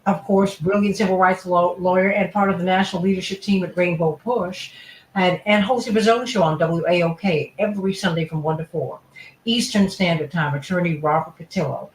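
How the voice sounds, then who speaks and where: A woman's voice: on the low side, brisk, reverberant, slight background noise, rough recording. A woman hosting a radio talk show, introducing guests effusively with frequent fillers.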